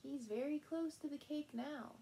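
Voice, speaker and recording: A middle-aged woman reads a picture book aloud to small children in an expressive, playful way, recorded indoors by a camera a short distance in front of her. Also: sits high, creaky. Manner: animated, slow, with playful sound words and exclamations. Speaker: storyteller and channel host. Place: small furnished room indoors.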